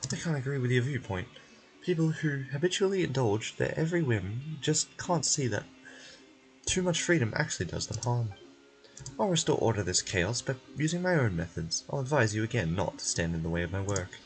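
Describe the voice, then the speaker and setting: A man's voice: mid-range voice, big pitch swings, fairly quick, soft background music, close to an everyday voice, low-quality recording. A man voicing a stern, officious game official obsessed with order, formal and strict.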